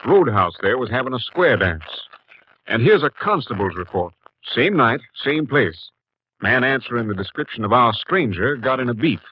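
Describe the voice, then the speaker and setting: Husky, terse police captain. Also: male voice, medium pitch, very animated, moderate pace. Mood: businesslike. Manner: terse, businesslike, sceptical. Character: gruff, weighing male police captain, senior lawman. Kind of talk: 1950s radio drama acting. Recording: muffled 1950s radio recording.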